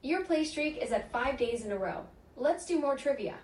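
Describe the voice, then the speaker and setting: A computer-generated woman's voice answering in polite, even, scripted phrases. It sits a little high and rings out from a speaker in an echoey room. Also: normal speed. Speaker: voice assistant. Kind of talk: synthetic assistant replies.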